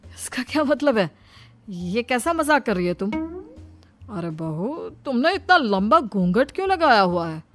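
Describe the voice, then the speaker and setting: A woman's voice: on the high side, very animated, a little slow, fairly bright tone, faint music behind. A woman voicing a fussy, scolding older father-in-law in a cartoon story. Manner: scolding, bossy. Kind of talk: cartoon voice acting.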